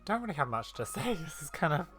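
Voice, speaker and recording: A woman's voice: deep voice, sing-song, quick pace, fairly bright tone, faint music behind. A woman giving casual commentary as she streams a video game. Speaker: gameplay streamer.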